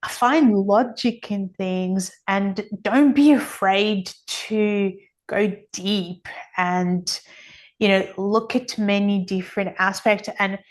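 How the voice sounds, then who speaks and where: A woman's voice: mid-range voice, slow. A woman speaking as an interview guest, explaining her views at length in an earnest, emphatic way and repeating phrases for weight. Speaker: podcast guest, author and energy healer.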